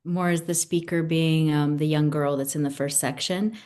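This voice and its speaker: Low-voiced woman poet, native Italian speaker. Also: fairly even intonation, moderate pace, a little mellow. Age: 50s. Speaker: poet, guest. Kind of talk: poetry reading and conversation about own poems.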